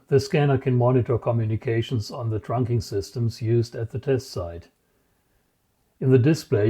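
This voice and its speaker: A man presents a technical demonstration in a soft, mellow voice. His delivery is measured and matter-of-fact, explaining things as scripted narration at an average pitch. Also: breathy edge. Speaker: presenter, radio scanning enthusiast.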